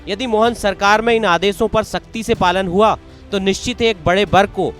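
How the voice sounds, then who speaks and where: A man's voice: high voice, steady tone, moderate pace, fairly bright tone. A man reading scripted news narration in a formal, emphatic way. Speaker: news presenter. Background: background music.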